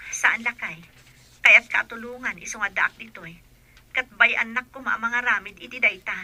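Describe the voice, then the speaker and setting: A man's voice: very high voice, fairly even intonation, normal speed, bright, forceful tone, dry recording, voice pushed up, heavily acted. A man voicing a caller from the city, heard over a phone line, talking in an apologetic, pleading way. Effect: telephone filter. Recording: dry, thin phone-line sound with faint music.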